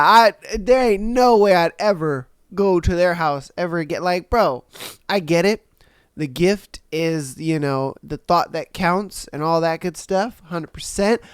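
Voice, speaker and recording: A young adult man hosting a casual podcast, reading listener stories and reacting in a slangy, animated way, a little nasal and congested from a cold. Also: on the high side, clean tone, no room sound, clean studio sound. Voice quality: slightly nasal. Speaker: podcast host.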